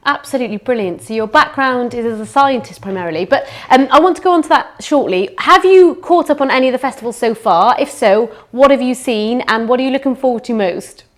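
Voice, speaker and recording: A woman's voice: fairly high pitch, animated, brisk, hardly any pauses. Bubbly woman hosting live. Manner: upbeat, bubbly presenter. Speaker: festival host. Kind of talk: live online festival hosting.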